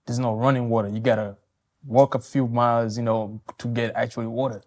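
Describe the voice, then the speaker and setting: A man in his twenties from Tanzania, answering interview questions politely, softly and with loose, hesitant phrasing. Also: medium pitch, little pitch movement, a little fast. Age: 20s.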